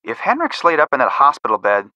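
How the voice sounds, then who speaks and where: A man's voice: medium pitch, wildly swooping pitch, fast, bright, forceful tone, dry recording, full character voice, rough recording. An actor playing a level-headed, drily witty teenage boy sleuth in scripted video game dialogue. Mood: thoughtful.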